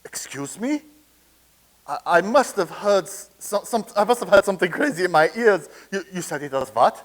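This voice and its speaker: A man's voice: high voice, expressive, moderate pace. A man reading the part of a flustered soldier from a past war in a staged comedy reading, stammering with nervous restarts.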